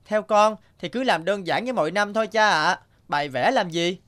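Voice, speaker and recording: A man voicing a cocky, argumentative young youngest brother in an animated folk tale, with a dismissive manner. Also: high-pitched, moderate pace.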